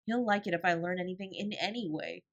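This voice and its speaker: A woman's voice: lowish voice, fast talker, highs cut off, close to an everyday voice. A woman voicing a naive, easily dejected schoolgirl in a storybook, simple and rueful in manner. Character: plump, dejected schoolgirl, slow learner, naive and admiring.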